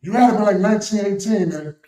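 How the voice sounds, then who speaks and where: High-voiced man in an echoey room. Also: fast, mellow. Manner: teasing, questioning. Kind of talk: casual chat. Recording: echoey room, faint noise.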